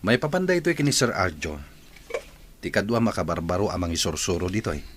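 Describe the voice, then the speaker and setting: A man's voice: low-pitched, sing-song, fast, a bit bright. A man with an Ilocano accent playing a nosy, petty teacher in an audio drama. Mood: prying. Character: nosy, critical male teacher, petty and challenging colleague. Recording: clearly noisy background.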